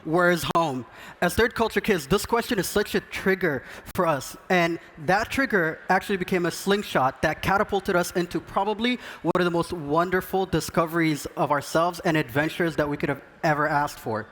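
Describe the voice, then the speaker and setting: A man from Sudan, a podcast co-host, giving an earnest, measured talk on an event stage. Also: high voice, fairly bright tone.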